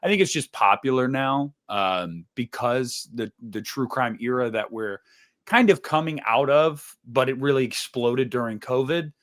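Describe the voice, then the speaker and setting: Mid-pitched man in casual podcast discussion. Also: expressive, unhurried. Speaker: podcast co-host.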